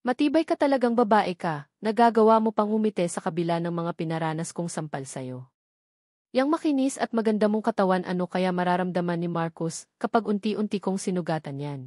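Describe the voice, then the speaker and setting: A woman's voice: lowish voice, expressive, a little fast, a little mellow, dry recording, clean studio sound, close to an everyday voice. A woman voicing a cruel, jealous female kidnapper in a story reading, taunting and menacing.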